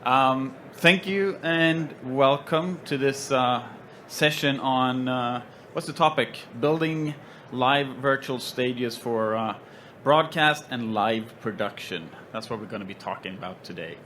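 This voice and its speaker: A man's voice: mid-range voice, a little slow, a little hiss or hum. Swedish-accented man moderating a panel. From Sweden. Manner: relaxed, joking, with frequent ums and uhs. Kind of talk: trade show panel introduction. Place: trade show stage with stands around.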